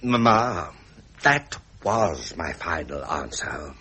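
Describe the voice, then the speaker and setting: An actor playing a wounded, resentful middle-aged British prince in a radio drama, formal and pained. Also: male voice, very deep voice, big pitch swings, slow, pushed and bright, slightly rough, full character voice. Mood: indignant.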